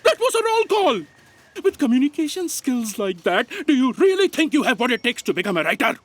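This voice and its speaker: A woman's voice: sits high, big pitch swings, a little fast, no room sound. A woman playing a sensible, easily exasperated crew member in a comic sci-fi audio drama. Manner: emphatic, scolding.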